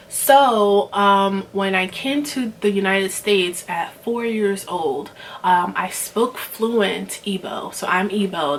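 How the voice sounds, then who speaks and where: A woman's voice: on the low side, a little hiss or hum, rough recording. Rambling young woman from Nigeria. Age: 20s. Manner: rambling, many fillers and restarts. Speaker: vlogger. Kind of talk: casual rant to camera.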